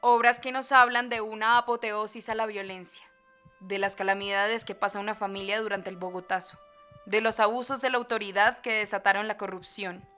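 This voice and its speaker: A woman's voice: mid-range voice, sharp tone, clear, resonant tone. A woman with a Colombian accent hosting a podcast with scripted narration. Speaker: podcast host.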